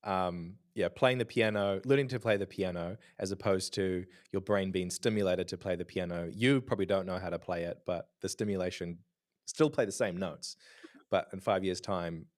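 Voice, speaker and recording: A man with a deep voice hosts a podcast interview. He talks in a relaxed way, thinking aloud as he sums up his guests' points. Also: moderate pace. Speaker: podcast host.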